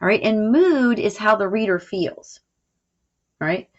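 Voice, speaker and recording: A woman's voice: mid-range voice, expressive, takes it slowly. A woman teacher explaining a recorded lesson in an informal way, checking in as she goes. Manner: informal, explanatory, frequent "all right" check-ins. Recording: dull, lo-fi audio.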